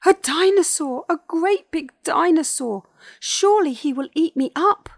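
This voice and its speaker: A woman's voice: fairly high pitch, lively intonation, measured pace, gravelly, warm tone, husky, pitched above the performer's own voice, exaggerated voice. A woman voicing a small young male dinosaur in a children's storybook, plucky and kind but easily frightened, speaking earnestly. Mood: terrified wailing. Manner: wailing when afraid, brave and earnest.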